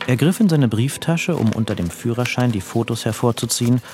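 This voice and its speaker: A man's voice: mid-range voice, animated, fairly quick, darkish tone, faint music behind. A man narrating a literary radio drama from a script.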